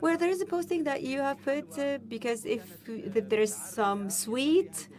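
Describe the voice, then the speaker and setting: Halting woman interpreter, slightly high voice. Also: a little mellow. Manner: halting, stop-start phrasing with many restarts. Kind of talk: simultaneous interpretation of a panel talk.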